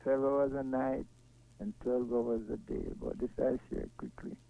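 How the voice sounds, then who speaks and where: A man's voice: medium pitch, fairly even intonation, unhurried, vocal fry, no room sound. A man with a Jamaican accent chatting casually in an earnest, agreeable way, heard through a tinny, narrow recording. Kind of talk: casual chat. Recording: tinny, phone-like narrow sound.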